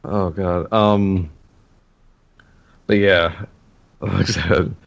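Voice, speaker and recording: Rambling low-voiced man on a podcast. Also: fairly even intonation, drawn-out words, creaky, muffled recording, crowd noise. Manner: rambling, many fillers and restarts. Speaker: podcast co-host. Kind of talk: casual gaming podcast chat.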